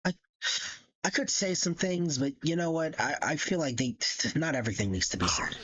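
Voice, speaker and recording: A young man with a husky voice reacts casually on a livestream. His pitch jumps around while he swears and trades slang, on a slightly dull mic. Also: lively intonation, normal speed, fairly bright tone. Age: early 20s. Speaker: streamer.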